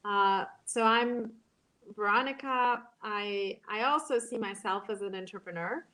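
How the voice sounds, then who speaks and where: A woman, moderating an online panel and talking in a halting way, full of ums and restarts. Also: mid-range voice, bright, forceful tone, rough recording. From Brazil.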